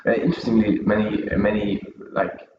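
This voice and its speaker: A man's voice: lowish voice, moderate pace. A man chatting casually at a table, talking loosely with lots of filler words. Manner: loose, relaxed, many "like" fillers. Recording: distant, echoey, muffled, noisy.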